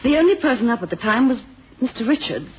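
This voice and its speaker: An actress playing a hesitant, deferential wife in a radio mystery, speaking in halting, polite phrases. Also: female voice, a little high, big pitch swings, narrow, tinny audio. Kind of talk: 1970s radio drama acting. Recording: thin old radio recording, faint noise.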